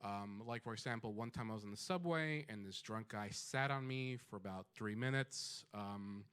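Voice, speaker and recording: A man from Puerto Rico telling a personal story on a small dark stage, with many ums and restarts. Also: fairly low pitch, normal speed, smooth.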